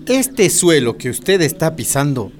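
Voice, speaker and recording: An actor playing a community leader defending his land, who speaks in a firm, measured, ironic way. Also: on the high side, big pitch swings.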